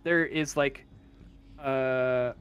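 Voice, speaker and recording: A man working as game master, improvising narration for a tabletop role-playing game in a halting way, searching for words. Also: medium pitch, fairly even intonation, a bit bright, clear, resonant tone.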